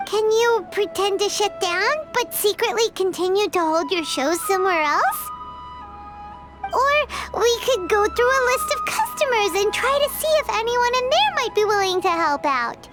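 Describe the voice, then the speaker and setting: A woman voicing a tiny, spirited childlike companion in a game dub, squeaky and exclamatory. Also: animated, moderate pace. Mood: pondering. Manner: exclamatory, refers to self in third person. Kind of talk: English game dub acting. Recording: loud music behind the voice.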